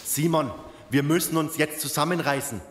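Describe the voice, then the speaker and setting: A man's voice: a little high, normal speed, a bit bright, natural voice. A man playing a hard-headed, commanding survivor in a horror audio drama. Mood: grim, determined. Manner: urgent, commanding. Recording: long echoing reverb, clear noise.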